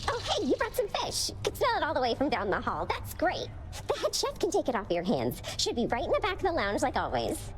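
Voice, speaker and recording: An actress voicing a perky, chatty palace servant in a video game, bubbly and casual. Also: female voice, high voice, huge pitch swings, brisk, few pauses, slight background noise. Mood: cheery, grateful. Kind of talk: video game character acting.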